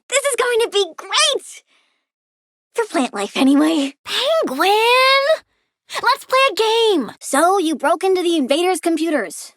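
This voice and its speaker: A woman's voice: very high-pitched, fairly bright tone, no room sound, studio quality, exaggerated voice. A young woman playing a childlike plant-girl villain in a video game, playful and curious, with a blunt, bratty, cheerfully menacing way of talking. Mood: bratty, demanding.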